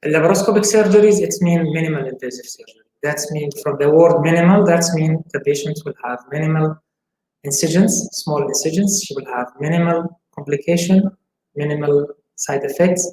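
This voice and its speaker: A man in his forties from Syria answers interview questions as a gynecologist. His voice sits a bit high for a man, and he talks haltingly with many pauses. The sound is roomy with a faint hiss. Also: warm tone. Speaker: gynecologist guest. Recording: echoey room, faint hiss.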